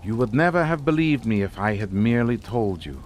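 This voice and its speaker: An actor playing a menacing, scornful older Hungarian count in a radio suspense drama, speaking in a formal, taunting, theatrical manner. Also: male voice, medium pitch, animated, fairly quick, slight background noise, close to an everyday voice. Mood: grave, lecturing.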